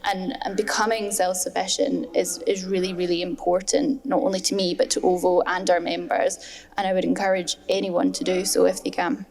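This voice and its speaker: A woman's voice: on the low side, flat delivery, moderate pace, creaky, darkish tone. A woman speaking as a panelist at a design festival discussion, earnest and emphatic, often repeating words for stress. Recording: long hall reverb.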